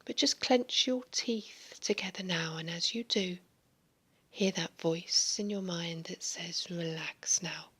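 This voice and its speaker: Woman, hypnotherapist, whispering, breathy, very slow, soothing guided hypnosis. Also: fairly low pitch, pushed and bright.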